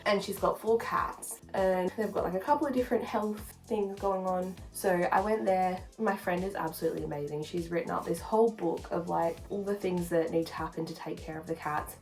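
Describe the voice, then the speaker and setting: A woman with a slightly low voice talks casually, as if filming a personal vlog. She rambles in an informal, confiding way. Faint music plays behind her. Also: a little fast, hardly any pauses, a little mellow, low-quality recording. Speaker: vlogger. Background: background music.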